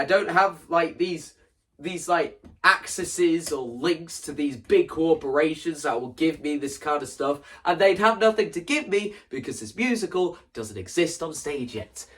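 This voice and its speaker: A young man talking casually and explaining his creative ideas in an animated, theatrical way. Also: fairly high pitch, recorded from a distance. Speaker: video creator presenting a stage play concept.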